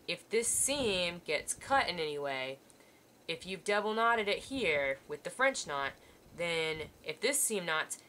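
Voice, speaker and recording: A woman teacher walks students through sewing a seam while she works at a table under an overhead camera. Her voice is bright and sits a little low, and her manner stays patient and reassuring. A faint hiss runs under the recording. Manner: patient, reassuring, talks while working hands-on. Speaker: art teacher. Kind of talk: step-by-step sewing demonstration.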